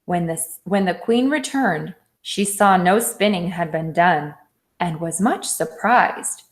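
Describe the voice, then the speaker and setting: A woman's voice: on the low side, lively intonation, takes it slowly. A woman teacher giving a read-aloud lesson for children, explaining things simply and instructively. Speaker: elementary school teacher.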